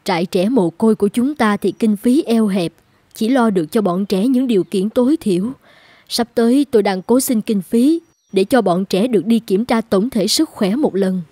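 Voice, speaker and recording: A woman's voice: medium pitch, moderate pace, warm tone, slightly rough, no room sound, close to an everyday voice. A woman voicing a kindly, compassionate orphanage carer in a cartoon, with a sympathetic, worried manner. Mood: pitying. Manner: sympathetic, caring. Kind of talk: animated children's story dubbing.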